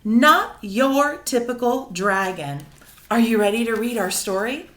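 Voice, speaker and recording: A woman with a high, very lively voice teaches young children in a read-aloud lesson. She speaks slowly and animatedly, explaining things simply and asking questions. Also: slight background noise. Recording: roomy, echoing indoor sound.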